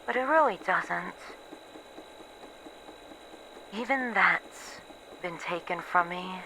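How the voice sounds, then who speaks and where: Woman acting a slow, despairing, bitter researcher, bright-voiced. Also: on the low side, lively intonation, exaggerated voice, rough recording. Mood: despairing, resigned. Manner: halting, wry, self-mocking, sighing. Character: despairing, bitter woman researcher losing her identity, resigned to doom. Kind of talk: ASMR horror roleplay audio log. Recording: faint background noise.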